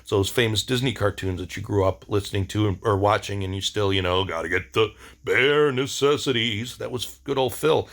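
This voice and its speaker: A man's voice: fairly low pitch, a little fast, creaky, fairly bright tone. A man giving a casual, rambling diary-style monologue, enthusiastic and informal, with some slang. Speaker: video diary host.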